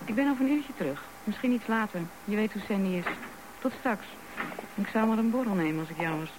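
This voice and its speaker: An actress playing the brisk, impatient wife of a businessman in a radio drama. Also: medium pitch, lightly acted. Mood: brisk, casual. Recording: noisy radio drama recording.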